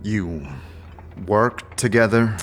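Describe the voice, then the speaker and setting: A man playing an earnest, halting, plain-spoken adventurer in a fantasy audio drama. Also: fairly low pitch, a bit bright. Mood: probing. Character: earnest, hesitant adventurer asking probing questions.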